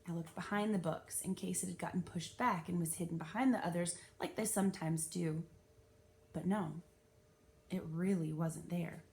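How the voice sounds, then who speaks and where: A woman reads a children's story aloud. Her voice sits at an average pitch for a woman, and her delivery is animated, with clear emphasis on the storytelling. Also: a little hiss or hum. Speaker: read-aloud narrator. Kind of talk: expressive children's audiobook-style narration.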